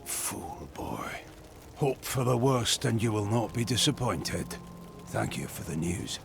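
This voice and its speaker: Gravelly, bitter blacksmith. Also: male voice, lowish voice, very animated, unhurried, over loud music, full character voice. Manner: brooding, terse. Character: gloomy, bitter male blacksmith, weary of life. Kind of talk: dramatic video game acting. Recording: clear noise, game ambience behind.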